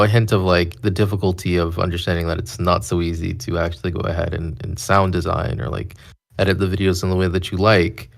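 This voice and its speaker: Deep-voiced man with heavy creak. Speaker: voice actor and podcast panelist. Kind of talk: casual explanatory panel talk.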